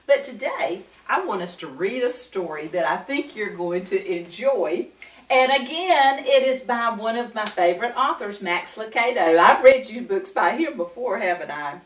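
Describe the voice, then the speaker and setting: A woman's voice: medium pitch, a bit bright. Older woman, lilting children's storyteller, roomy sound. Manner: asks the listeners questions and pauses between phrases. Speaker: host of a children's Bible story show. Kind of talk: gentle children's story time, speaking directly to young listeners. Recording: roomy, echoing, distant microphone.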